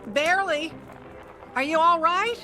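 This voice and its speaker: A woman's voice: extremely high pitch, unhurried, pushed and bright, slight background noise, full character voice. An actress playing a lonely, unhappy older grandmother in a wistful, plaintive radio drama performance. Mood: worried. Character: lonely, unhappy grandmother alone on Christmas Eve.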